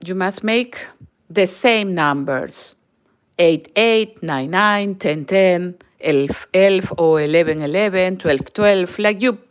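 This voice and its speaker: A woman's voice: lowish voice, takes it slowly, clean tone. A middle-aged Peruvian woman with a Spanish accent, a native Spanish speaker, giving a live crochet tutorial in careful non-native English that often drops to a near whisper. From Peru. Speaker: crochet channel host.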